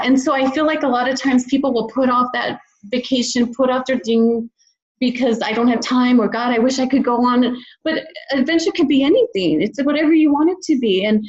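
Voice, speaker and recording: A woman's voice: on the high side, a little mellow, muffled recording. A woman speaking as a podcast guest, reflecting in an earnest, encouraging, conversational way. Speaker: podcast guest, children's book author. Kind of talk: conversational interview, personal reflection.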